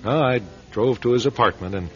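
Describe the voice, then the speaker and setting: An actor playing a plain-spoken, put-upon radio station manager in a radio drama, conversational, hesitant and confiding. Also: male voice, mid-range voice, fairly quick, a bit bright, lo-fi sound, faint music behind, lightly acted. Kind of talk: radio drama acting and first-person narration.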